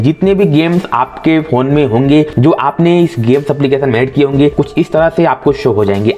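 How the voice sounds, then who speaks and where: A man's voice: medium pitch, a little mellow. An Indian man narrating a tech tutorial in a methodical way, mixing in English tech terms. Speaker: tech reviewer presenting phone features.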